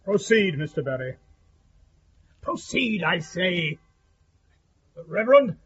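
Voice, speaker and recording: An actor playing a stern, imperious Victorian prison governor in a radio drama, commanding and formal, with a husky, creaky voice. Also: male voice, sits high, very animated, takes it slowly, a little hiss or hum, full character voice. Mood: impatient. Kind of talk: period radio drama acting. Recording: dull lo-fi audio, music underneath.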